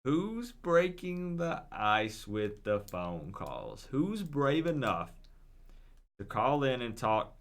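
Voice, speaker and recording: A man's voice: mid-range voice, sing-song, slow pace. A man hosting a casual live call-in stream, speaking informally and encouragingly straight to his viewers. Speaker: livestream host.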